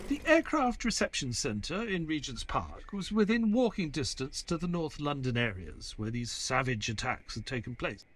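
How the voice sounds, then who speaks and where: A man's voice: fairly high pitch, sing-song, normal speed, few pauses, a bit bright, a little hiss or hum. An actor playing a reserved former army man who is companion to a detective and narrator of an audio play. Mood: reflective.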